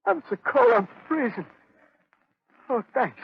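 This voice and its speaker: High, husky shivering beggar. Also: male voice, expressive, slow pace, heavily acted. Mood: pitiful pleading. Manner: pitiful, shivering, pleading. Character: freezing male street beggar pleading for a dime. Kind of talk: 1930s radio acting. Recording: muffled old 1930s radio broadcast.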